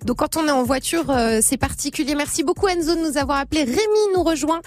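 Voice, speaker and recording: A woman's voice: high-pitched, quick pace, no echo. A woman hosting a live radio phone-in from a studio, upbeat and friendly with her callers. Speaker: radio call-in host.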